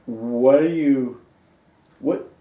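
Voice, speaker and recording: A man's voice: medium pitch, drawn-out words, phone-quality sound. A man hosting an interview, chatting casually and leaning on "like" and "you know.". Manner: loose, leans on "like" and "you know". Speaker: host. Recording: roomy echo.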